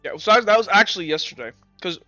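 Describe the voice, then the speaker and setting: A man narrating as game master of a tabletop roleplaying game, improvising in a halting, repetitive way. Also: high-pitched, lively intonation, pushed and bright, dry recording, dull audio, soft background music.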